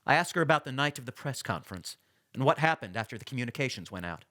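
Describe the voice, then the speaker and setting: A man playing a measured, matter-of-fact reporter narrating his interviews in an audio drama. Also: medium pitch, expressive, bright, forceful tone. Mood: measured, curious. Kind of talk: audio drama, first-person documentary narration.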